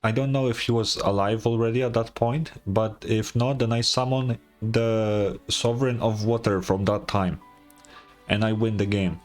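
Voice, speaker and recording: A man's voice: lowish voice, steady tone, moderate pace. A man doing casual live reaction commentary as a game streamer, blunt and sarcastic. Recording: game music behind the voice.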